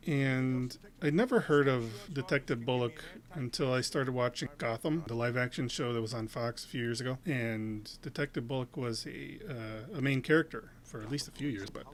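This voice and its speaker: A man hosting a casual reaction video, rambling with lots of fillers and restarts. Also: medium pitch.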